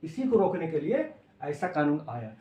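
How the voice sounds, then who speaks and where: A man's voice: a little high, very animated, normal speed, dark tone. A man with a Bihari accent giving an informal lecture as a coaching teacher, explaining in simple words, repeating phrases and checking that students follow. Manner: repeats phrases and checks understanding with short tags. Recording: echoey, reverberant room.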